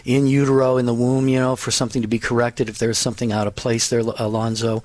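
A man co-hosts a religious call-in radio program, reading messages and answering callers. His delivery is gentle and reassuring, in a pastoral tone. The sound is dry studio audio. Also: mid-range voice, little pitch movement, fast talker, no echo. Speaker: radio co-host.

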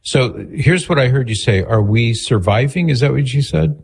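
A man hosting a call-in radio show, calm and attentive as he restates what his callers say. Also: mid-range voice, moderate pace, gravelly. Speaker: radio host.

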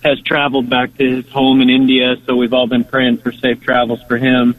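A man calling a radio show for the first time, plain-spoken and hesitant, with many uhs. His voice is flat and even, and it comes through a thin phone line. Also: medium pitch, moderate pace, no echo. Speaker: caller.

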